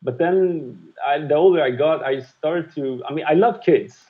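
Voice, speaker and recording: A man's voice: a little high, a little slow, phone-quality sound. A man in his fifties, a music producer, talking frankly and reflectively in an interview, swearing now and then. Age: 50s. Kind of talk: candid conversational interview.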